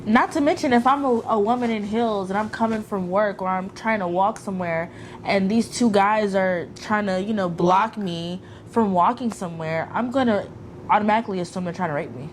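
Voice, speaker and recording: A woman's voice: medium pitch, fairly quick. Blunt woman podcast co-host, noisy recording. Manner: blunt and emphatic, swears freely. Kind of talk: casual chat.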